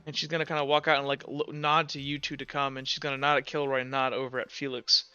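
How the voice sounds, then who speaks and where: A man's voice: medium pitch, flat delivery, fast talker, bright, forceful tone, clean tone, muffled recording, soft background music. A man acting as game master, improvising tabletop roleplay narration in a casual, loose way, searching for words.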